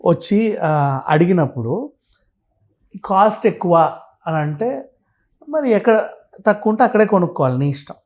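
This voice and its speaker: A man's voice: sits high, expressive, normal speed, pauses often, darkish tone. A man giving a blunt, conversational explanation as a commentator, often checking that the listener follows. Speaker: commentator guest. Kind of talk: animated conversational explanation with acted quotes.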